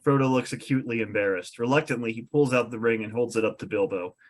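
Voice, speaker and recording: A male narrator reads scene directions from a script. His voice sits at a middle pitch, in the style of scripted narration for a table read. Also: fairly even intonation, quick pace.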